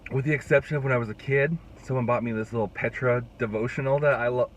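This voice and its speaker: A man's voice: mid-range voice, fairly even intonation, fast talker, muffled recording, a little hiss or hum. Young man vlogging with a cold. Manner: rambling, restarts and fillers, chatty. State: congested, fighting a cold, voice rough. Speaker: youth pastor. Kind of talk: casual vlog to camera. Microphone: phone held at arm's length.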